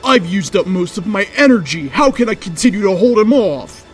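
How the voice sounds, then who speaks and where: A woman voicing a hot-blooded, anguished young male fighter from an anime-style story, in loud drawn-out cries. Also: mid-range voice, animated, soft background music, pitched above the performer's own voice, lightly acted. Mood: desperate.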